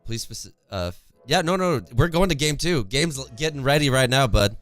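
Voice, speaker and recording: A young man with a worn-out, hoarse voice casting an esports match on a home stream, chatty and reading chat aloud, close to a studio mic. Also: medium pitch, lively intonation, fairly quick, fairly bright tone, soft background music. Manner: chatty, reads chat aloud, laughs often. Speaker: esports caster. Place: home streaming room, indoors. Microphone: studio condenser mic close in front, headphones.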